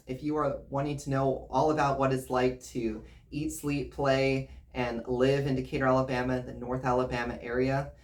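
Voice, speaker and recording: Conversational American real estate presenter. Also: mid-range voice. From United States, grew up in Virginia and Missouri. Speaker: real estate agent and channel host. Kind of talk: informal presentation to camera.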